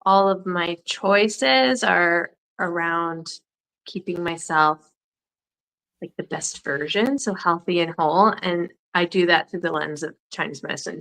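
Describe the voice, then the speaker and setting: A woman who practices acupuncture, answering as a guest in a casual talk show chat with halting restarts. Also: lowish voice, sharp tone, rough recording. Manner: laughs often, halting restarts.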